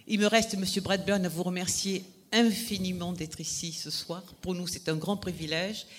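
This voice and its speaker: A man's voice: high-pitched, steady tone, normal speed, a bit bright. A man hosting an event, formally and politely introducing a guest speaker and stumbling slightly over his phrasing.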